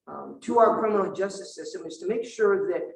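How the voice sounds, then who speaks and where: A middle-aged man from Vietnam, a native Vietnamese speaker, delivers a stump speech in a notably high voice. He punches out rhetorical lists with deliberate pauses. He is picked up from some distance in a reverberant hall. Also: vocal fry. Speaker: political candidate at a forum. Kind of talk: campaign speech and forum answer. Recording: roomy hall, distant mic.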